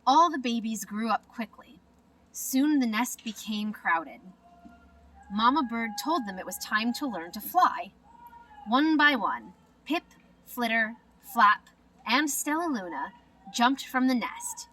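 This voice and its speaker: Woman reading a kids picture book, takes it slow, expressive, sounds a little away from the mic. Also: fairly high pitch, recorded from a distance, a little hiss or hum, poor audio. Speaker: storybook reader. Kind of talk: children's storybook narration.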